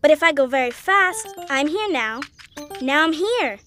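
An eager, good-hearted little cartoon cow. The voice is very high and bright, impatient and emphatic, with music underneath. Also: female voice, a little slow, exaggerated voice. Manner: excitable, emphatic, exclaiming. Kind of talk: children's cartoon acting.

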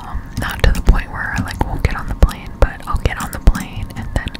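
Young woman, whispered voice, breathy, close-miked, unhurried, chatty gaming ASMR. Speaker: gameplay streamer. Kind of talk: whispered gaming ASMR, casual rambling commentary. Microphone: close-up studio USB microphone.

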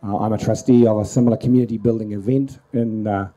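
A man's voice: fairly low pitch, fairly even intonation, fast talker, warm tone. A middle-aged man with a New Zealand accent, speaking from the audience at a public forum into a handheld microphone. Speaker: audience member, council planner. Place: large crowded festival tent.

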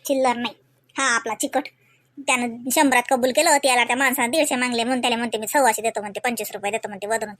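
A woman's voice: on the high side, steady tone, very fast, a bit bright, no room sound, natural voice. A woman playing a kindly, talkative, scolding village mother in a comedy cartoon, with a Varhadi Marathi accent. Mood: indignant, chatty. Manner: homely, talkative, emphatic.

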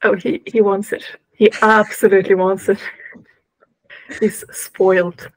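A woman's voice: medium pitch, flat delivery, unhurried, dry recording, rough recording. Casual woman in a roleplay game. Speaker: tabletop roleplay player. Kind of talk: casual in-character tabletop roleplay chat. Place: indoors on a video call.

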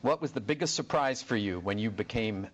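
A man's voice: on the low side, expressive, brisk. An older man moderating, reading out viewers' names, hometowns and questions in an indoor studio. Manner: reads names and places before each question. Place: indoor studio before a backdrop.

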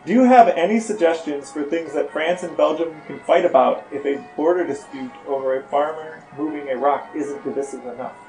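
A man's voice: on the high side, fairly quick, few pauses, darkish tone, faint music behind, low-quality recording. A man co-hosting a podcast, chatting casually and asking questions. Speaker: podcast co-host. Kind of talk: casual chat and interview questions.

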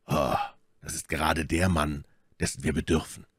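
A man voicing a calm, commanding and wry musketeer in a measured way for an audiobook. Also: extremely low pitch, husky, no room sound, clean studio sound. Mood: deliberate, assured. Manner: measured, unhurried, authoritative. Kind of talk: audiobook character dialogue.

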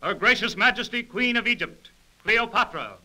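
A man's voice: high-pitched, expressive, moderate pace, over loud music. A man playing a ceremonious royal chamberlain, proclaiming in a stately, pompous way in an old radio drama. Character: formal, ceremonious royal court chamberlain announcing guests. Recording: 1930s radio recording, loud fanfare.

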